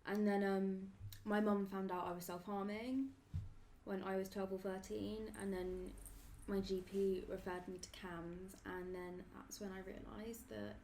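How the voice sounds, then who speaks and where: A woman's voice: medium pitch, fairly even intonation, a little slow, darkish tone, a little hiss or hum. An eighteen-year-old woman in a group talk, explaining things earnestly with casual fillers. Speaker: group member, guest. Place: meeting room.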